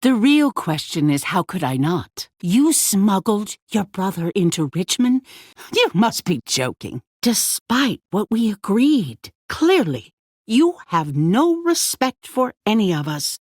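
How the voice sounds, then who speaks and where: An actress playing a poised, commanding woman who leads a survivor settlement in a video game, speaking in a measured, courteous but firm way. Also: medium pitch, very animated, darkish tone, dry recording, studio quality. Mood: grave, defensive, then indignant, accusing. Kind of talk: dramatic video game dialogue.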